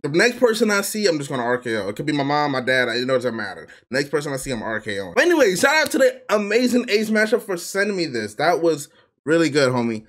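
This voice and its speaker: A young man hosting a casual reaction video from his bedroom on a headset mic, hyped and excitable. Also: a little high, quick pace. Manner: excitable, hype, sings lines back. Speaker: YouTube reaction host. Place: bedroom at home.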